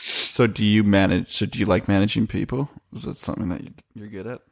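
A man's voice: on the low side, steady tone, quick pace, creaky, no echo, clean studio sound. A man hosting a casual interview chat, informal and probing in the way he puts questions. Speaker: podcast host.

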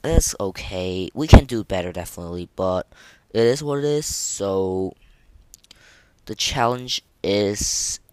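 A man hosting a casual solo gaming podcast, speaking in a halting way and often stumbling and repeating words. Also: lowish voice, expressive, a little mellow, clear, resonant tone, no room sound. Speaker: podcast host.

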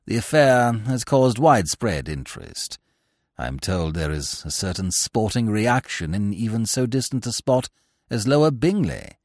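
A man's voice: lowish voice, animated, moderate pace, no echo, clean studio sound, voice pushed down. A man voicing a grave, unflappable middle-aged valet who speaks formally and deferentially. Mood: composed.

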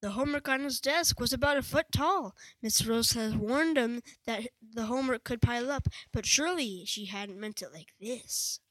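A woman's voice: a little high, moderate pace, hardly any pauses, a bit bright, no echo, low-quality recording. A female narrator reading a scripted story for school readers' theatre.

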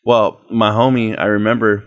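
A man's voice: fairly low pitch, level tone, moderate pace. Young dude hosting a podcast, swears constantly, teases his buddy, laughs a ton. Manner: profane, teasing, laughs a lot. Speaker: podcast host. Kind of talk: casual podcast banter.

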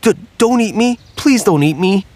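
A man's voice: high voice, animated, moderate pace, darkish tone, voice pushed up. A man doing a stammering, nervous wild turkey. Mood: pleading. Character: nervous wild turkey, afraid of being eaten.

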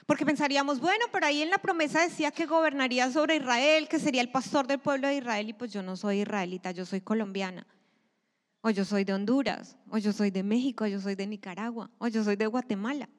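A woman's voice: fairly high pitch, fast talker. Emphatic woman preacher in an echoing church. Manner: emphatic, swells loud then drops to a hush. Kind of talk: sermon. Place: church sanctuary. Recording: long hall reverb.

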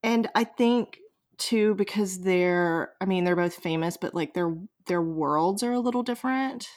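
A woman's voice: lowish voice, a little slow, darkish tone. A woman hosting a casual podcast discussion, informal and jokey in how she talks. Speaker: podcast host.

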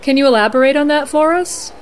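A woman hosting as a news anchor, reading a scripted bulletin in a measured, formal newsreader delivery. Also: sits high, slight background noise. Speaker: podcast host as news anchor. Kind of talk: scripted mock news broadcast.

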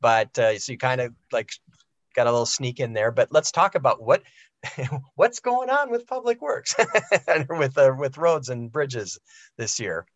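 A man's voice: medium pitch, very animated, unhurried, dry recording, muffled recording. A man hosting an interview, asking friendly, loose questions with fillers. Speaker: interview host. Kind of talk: casual interview.

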